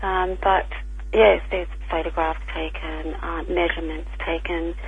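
A croaky woman speaking over a tinny phone line, her voice gravelly and heavily rasped. She explains things carefully, with small restarts, as a guest scientist in a radio interview. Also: fairly low pitch, level tone, measured pace.

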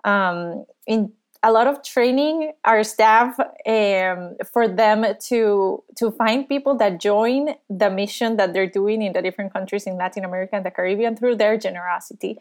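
A woman from Costa Rica, a podcast guest over a video call, answering in an earnest, thoughtful way with many pauses. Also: mid-range voice. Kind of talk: conversational interview.

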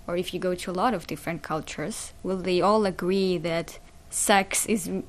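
A woman with a slightly low-pitched voice, hosting an interview. She phrases things conversationally and feels her way through questions in an informal, exploratory manner. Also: steady tone, normal speed.

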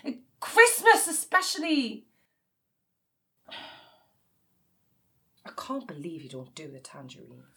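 A woman's voice: lowish voice, wildly swooping pitch, takes it slowly, long pauses, pushed and bright, exaggerated voice. An actress playing a cynical, grieving woman, in a naturalistic audio drama. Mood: hurt, insistent. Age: 30s. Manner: sardonic, exasperated. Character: cynical, grieving 37-year-old woman working as an elf.

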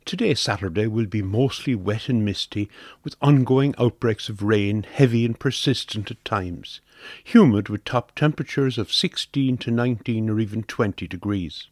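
A man's voice: lowish voice, moderate pace, darkish tone, no echo, studio quality. A man reading a scripted weather forecast on radio.

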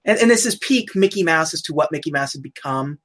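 A woman co-hosting a casual chat about old cartoons, chatty and quick to laugh, looking things up as she talks. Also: low-pitched, expressive. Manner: chatty, laughs often, looks things up aloud. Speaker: podcast co-host.

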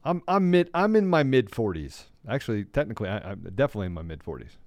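A man's voice: medium pitch, very animated, fast talker, no echo. A man in his forties, co-hosting a talk show, joking around and correcting himself as he goes. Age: 40s. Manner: joking, rambling self-corrections. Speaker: co-host. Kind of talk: casual talk show banter.

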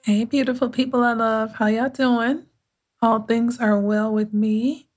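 A woman's voice: a little high, steady tone, music in the background. Soothing-voiced woman podcast host. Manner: unhurried, conversational, with thoughtful pauses and restarts. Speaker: podcast host and mindset coach. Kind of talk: casual reflective podcast monologue.

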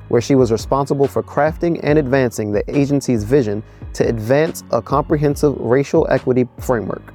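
Brief: man co-host, steady scripted read, faint music underneath. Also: mid-range voice. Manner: steady, reading a prepared script. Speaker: podcast co-host. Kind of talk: scripted intro, then interviewing.

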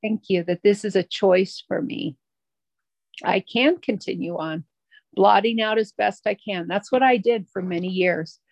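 Woman, middle-aged, recovery meeting share, halting, reflective, gravelly voice, dry sound. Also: mid-range voice, darkish tone, dry recording. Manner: halting, reflective, many fillers and restarts. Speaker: twelve-step meeting speaker.

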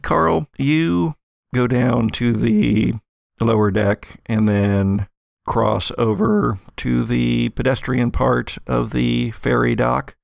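A man narrating as game master, improvising casually in halting, informal descriptions. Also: medium pitch, vocal fry, no echo, studio quality.

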